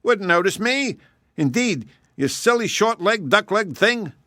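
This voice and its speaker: A man voicing a boastful, haughty male hare in a high, sneering tone. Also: animated, a little slow, pushed and bright, dry recording, clean studio sound, heavily acted. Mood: scornful.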